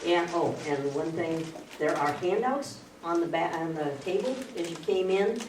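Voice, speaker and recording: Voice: medium pitch, lively intonation, moderate pace, recorded from a distance. A moderator introducing two speakers at a community meeting in a plain, friendly way, with small joking asides. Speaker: moderator for the organizing group. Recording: echoey room audio recorded from afar, with noise.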